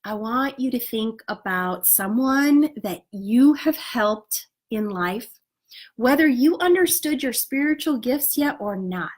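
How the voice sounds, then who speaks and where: A woman with a fairly high, stuffed-up voice that comes out a little nasal. She speaks outdoors as a spiritual coach. Her talk is earnest and encouraging, dotted with "you know" and "right?". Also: lively intonation, slow pace. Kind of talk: casual motivational talk to an online group.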